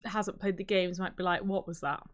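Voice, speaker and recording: A woman's voice: on the low side, steady tone, brisk. A woman co-hosting a podcast, chatting casually about films and games. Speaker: podcast co-host.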